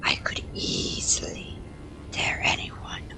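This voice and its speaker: A woman playing a menacing, devoted creature in a fantasy audio drama, speaking in a gravelly whisper. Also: slow pace, lightly acted. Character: menacing, devoted monstrous creature made by a sorceress.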